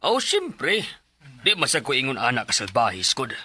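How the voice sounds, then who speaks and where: A man's voice: mid-range voice, wildly swooping pitch, normal speed, bright, forceful tone, exaggerated voice. A man acting an assertive middle-aged house buyer and landlord in a Cebuano Filipino radio drama. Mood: firm, businesslike.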